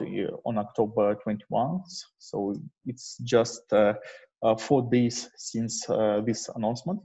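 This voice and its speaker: Husky, halting man on a muffled call. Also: fairly low pitch, warm tone. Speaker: presenter at an online developer meetup. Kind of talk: informal technical presentation with slides. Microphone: video call microphone. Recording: dull, muffled lo-fi online call.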